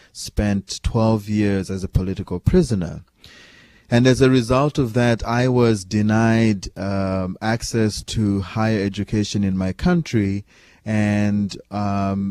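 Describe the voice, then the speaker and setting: A man in his forties speaks in a warm, mellow voice, calm and earnest as he tells his own story. His delivery is measured and reflective. The sound is dry and close. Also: lowish voice, no echo. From Malawi. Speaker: peace organization vice president. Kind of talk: personal storytelling talk.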